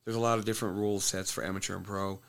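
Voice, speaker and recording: A man's voice: low-pitched, level tone, very fast, gravelly, fairly bright tone. A man who coaches fighters, telling a fight story bluntly in casual conversation, swearing freely. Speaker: MMA coach and fighter, podcast guest.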